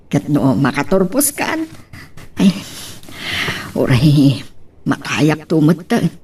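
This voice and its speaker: A woman playing a gentle, resigned old mother in an Ilocano audio drama, with an Ilocano accent and tender, sighing delivery. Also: sits low, sing-song, slow pace, husky, distant mic, full character voice. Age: older. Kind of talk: Ilocano radio-style drama acting.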